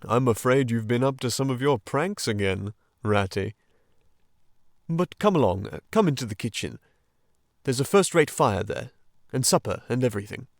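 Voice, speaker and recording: A man reading a storybook and voicing a gruff, suspicious yet fatherly old male badger. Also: mid-range voice, very animated, slow, fairly bright tone, dry recording, clean studio sound, exaggerated voice. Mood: paternal.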